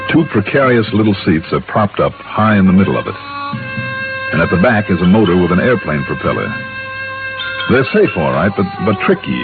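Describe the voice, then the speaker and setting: Male radio private investigator: lowish pitch, wry, conversational, folksy asides, tinny old broadcast with music underneath. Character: tough, wry, easygoing male insurance investigator narrating his case. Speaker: detective hero and narrator. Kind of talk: 1950s radio detective acting, first-person narration.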